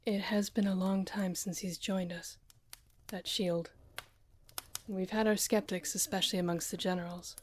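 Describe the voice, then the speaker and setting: A woman playing a loyal, commanding senior general in a fantasy audio roleplay, speaking with firm, measured authority. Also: medium pitch, little pitch movement, lightly acted. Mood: calm authority.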